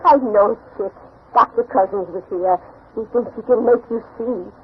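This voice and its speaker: A woman's voice: fairly high pitch, normal speed, slight background noise, natural voice. An actress playing a grieving, fearful elderly grandmother in a dramatic, emotional 1940s radio drama style. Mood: tender, hopeful. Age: older. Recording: muffled old radio broadcast.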